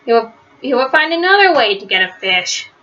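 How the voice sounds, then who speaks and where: Female storybook reader for children: fairly high, bright, expressive delivery, slightly muffled lo-fi sound. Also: fairly quick. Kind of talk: read-aloud children's story narration. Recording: dull, lo-fi sound.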